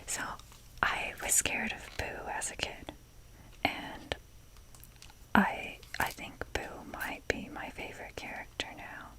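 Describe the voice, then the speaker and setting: A woman whispers a casual ASMR ramble at an unhurried, slow pace. She is self-deprecating and swears offhandedly as she drifts along. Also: bright, forceful tone, rough recording. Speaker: ASMR video creator.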